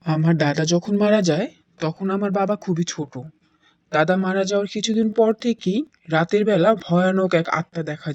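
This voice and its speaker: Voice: mid-range voice, no room sound, dull audio, lightly acted. An actor voicing a strict father in a cartoon, who forbids a trip and tells a grave ghost story. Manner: stern, then hushed storytelling. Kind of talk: cartoon voice acting.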